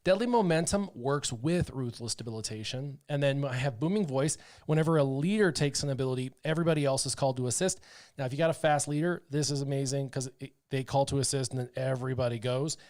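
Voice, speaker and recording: A man casually talking through his game setup on a livestream, chatty and excitable, with lots of "um" and "like". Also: medium pitch, quick pace. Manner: chatty, excitable, full of fillers like "um" and "like". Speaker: streamer playing a mobile game.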